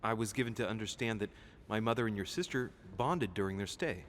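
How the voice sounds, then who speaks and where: Serious young detective guy in a game, voiced by a man, lowish voice, echoey with some noise. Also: a little fast, a bit bright, close to an everyday voice. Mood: grave, urgent. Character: earnest young male investigator seeking his missing mother. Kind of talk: video game dialogue.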